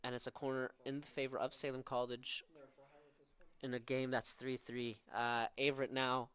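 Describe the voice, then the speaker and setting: A man's voice: medium pitch, level tone, moderate pace, fairly bright tone, smooth. A young man from southern Norway with a Norwegian accent, calling live college soccer with tactical analysis and frequent fillers. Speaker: play-by-play commentator.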